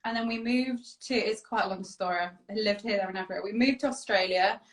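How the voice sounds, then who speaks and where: A woman's voice: medium pitch, a little fast, roomy sound. A woman, a guest on a casual video-call interview, giggly and apologetic, swearing freely. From Wales. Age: 20s.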